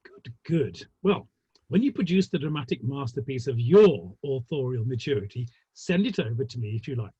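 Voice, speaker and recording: Male actor as Victorian theatre manager, genial and teasing, husky, animated, recorded dry. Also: medium pitch, moderate pace, dry recording, muffled recording. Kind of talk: dramatic play reading.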